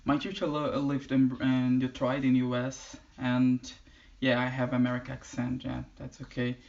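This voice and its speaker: Brazilian man vlogging in careful, halting English, average pitch; muffled, lo-fi audio. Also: completely flat delivery, creaky, darkish tone. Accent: Brazilian Portuguese. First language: Portuguese. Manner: halting learner English, many restarts. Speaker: channel host. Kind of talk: casual vlog talk. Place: indoors in the UK.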